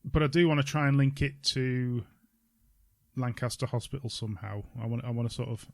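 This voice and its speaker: A man's voice: medium pitch, level tone, fairly quick, gravelly, darkish tone, no room sound, studio quality. A man co-hosting a podcast in casual chat, thinking aloud and repeating himself as he goes. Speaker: podcast co-host.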